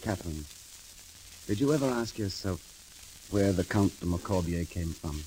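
An actor playing a bold, eloquent rogue lord in a declamatory 1930s radio drama style. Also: male voice, deep voice, expressive, normal speed, warm tone, a little hiss or hum, rough recording. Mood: wry, quiet. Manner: declamatory, theatrical. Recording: old 1930s radio broadcast recording.

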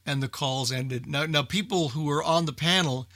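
A man hosting a casual, unscripted radio talk show, speaking in a halting way and repeating words as he thinks. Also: on the high side, pushed and bright, no echo, clean studio sound.

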